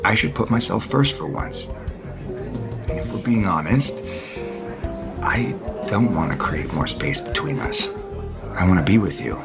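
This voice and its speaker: A guarded romantic father in an audio soap opera. His voice is fairly low, earnest and a little dry, over clearly audible music. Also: animated, unhurried, long pauses, heavily acted. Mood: tender, earnest. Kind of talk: naturalistic soap opera dialogue. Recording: studio drama with music underneath.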